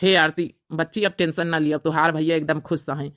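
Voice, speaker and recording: A man's voice: a little high, little pitch movement, brisk, fairly bright tone, no room sound, studio quality, lightly acted. A man voicing an earnest, emotional, self-sacrificing brother in a homemade cartoon family drama, with an Awadhi accent. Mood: relieved, tender.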